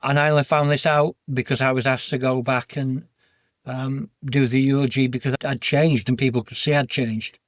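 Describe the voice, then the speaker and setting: A man's voice: medium pitch, level tone, normal speed, no echo, studio quality. A man with a Yorkshire accent, a podcast guest speaking earnestly and plainly about his life. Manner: earnest, heartfelt, plain-spoken. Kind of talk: candid personal interview.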